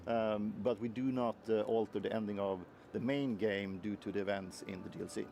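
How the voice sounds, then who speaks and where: A man, a game developer, answering interview questions in a measured way while avoiding spoilers. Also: fairly low pitch, fairly even intonation, creaky, mellow, slight background noise. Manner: measured, careful not to spoil, with fillers.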